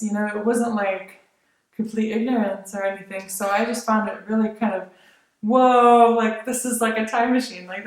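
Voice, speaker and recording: A young woman from Lithuania chatting casually as a vlogger, rambling with many fillers and soft laughs. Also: medium pitch. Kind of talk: casual chat. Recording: very echoey room.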